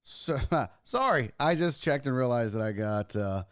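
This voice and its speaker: A man hosting a casual livestream sports talk show, hoarse and raspy from losing his voice, joking crudely and mocking himself as he chats with viewers. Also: medium pitch, lively intonation, unhurried, fairly bright tone, no echo, studio quality. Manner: crude, self-mocking banter with chat. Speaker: host.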